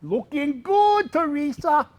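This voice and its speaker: A man's voice: very high-pitched, expressive, takes it slowly, a little mellow, a little hiss or hum, full character voice. A man acting as a genial older mailman in a stage comedy. He talks in a folksy, plain-spoken way. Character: Ray, genial older mailman of long service. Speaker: stage actor. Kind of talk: staged reading of a period comedy play.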